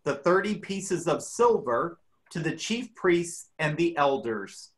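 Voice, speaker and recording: This is a church reader delivering a dramatic scripture reading. The pace is slow and measured, with a lively, wide-moving intonation. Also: mid-range voice.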